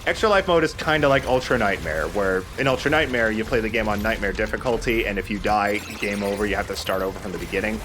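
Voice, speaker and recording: Male let's play host. Fairly low voice, conversational and explanatory, slight noise behind him. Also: fast talker, hardly any pauses. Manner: chatty, explanatory, casual swearing. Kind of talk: casual gameplay commentary.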